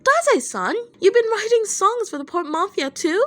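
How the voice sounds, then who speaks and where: Woman voicing an earnest, high-pitched young man. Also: big pitch swings, normal speed, a bit bright, full character voice. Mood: shocked. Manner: polite, earnest, eager. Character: earnest, easily amazed young man in a band.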